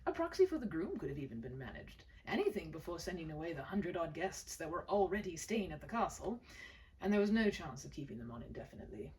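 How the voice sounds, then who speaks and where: A woman reading a short story aloud in a gentle, dramatic storybook manner. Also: fairly low pitch, big pitch swings, quick pace, far from the mic, slight background noise, low-quality recording. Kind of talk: expressive scripted story narration.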